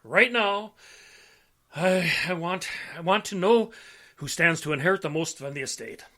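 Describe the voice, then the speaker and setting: Bright-voiced, needling police lieutenant, an actor. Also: male voice, high-pitched, moderate pace. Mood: insistent. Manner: brusque, probing. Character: insistent, needling male police lieutenant questioning suspects. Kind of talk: old-time radio detective play acting.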